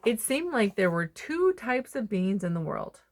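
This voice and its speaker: Expressive woman reading a children's storybook. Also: mid-range voice. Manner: expressive, dramatic pauses and emphasis. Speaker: storybook reader. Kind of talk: children's picture book read-aloud.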